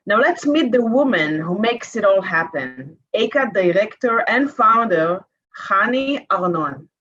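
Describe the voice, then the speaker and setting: A woman's voice: mid-range voice, big pitch swings, unhurried, a bit bright. A woman hosting the session, introducing speakers in an upbeat, welcoming way. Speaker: session host. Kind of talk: scripted presenting. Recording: dull, slightly lo-fi audio.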